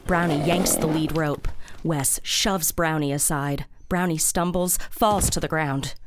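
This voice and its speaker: A woman reading scripted audio drama narration in a measured, matter-of-fact way. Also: low voice, fairly even intonation, background noise.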